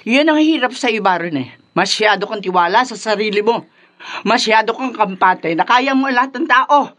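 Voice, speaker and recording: Casting: nagging Filipino radio-drama mom, high piercing voice, swooping melodramatic scolding. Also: female voice, animated, normal speed, a bit bright. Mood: fed up. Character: exasperated, scolding mother of a troublemaking son.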